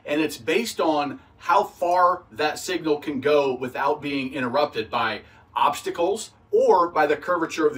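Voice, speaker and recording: Higher-voiced man explaining, a bit off-mic. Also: fairly bright tone, far from the mic. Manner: plain-spoken, step-by-step, practical. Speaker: boating instructor and video host. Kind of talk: instructional explainer, conversational.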